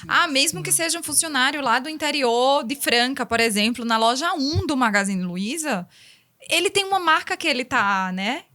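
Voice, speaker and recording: A woman's voice: high-pitched, lively intonation, normal speed, sharp tone. A woman guest on a business podcast who keeps circling back to her point. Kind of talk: casual business chat.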